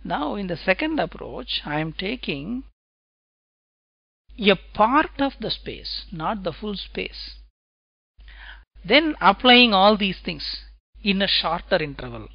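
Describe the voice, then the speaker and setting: Guy teaching software testing, super slow and careful, dull lo-fi screencast audio. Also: sits high, very animated, vocal fry, no echo. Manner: slow, deliberate explaining, tag questions "right?". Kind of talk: screencast lecture.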